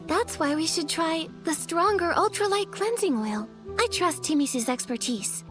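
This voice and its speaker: Sweet earnest teen game heroine, really high girly voice, encouraging, music underneath. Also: expressive, a little slow. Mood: eager, resolved. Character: kind, earnest, resourceful teenage girl heroine. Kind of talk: video game cutscene acting.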